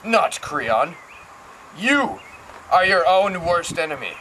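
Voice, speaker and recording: A man's voice: high voice, big pitch swings, slow pace, bright, forceful tone, a little hiss or hum, exaggerated voice, low-quality recording. A man playing a stubborn, reluctant old prophet in an amateur Greek tragedy, speaking in cryptic, foreboding lines. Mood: defiant.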